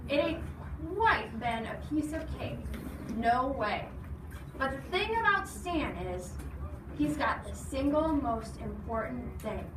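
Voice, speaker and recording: A woman's voice: high-pitched, animated, takes it slowly. A woman reading a story aloud expressively, putting questions to her listeners. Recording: echoey noisy room, distant mic.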